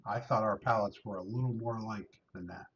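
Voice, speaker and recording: A man's voice: on the low side, fairly even intonation, brisk, a bit bright. Teasing man on a distant, dull mic. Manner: teasing, joking. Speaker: co-host of a whiskey tasting livestream. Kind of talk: casual banter with chat and co-host. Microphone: overhead microphone above the table.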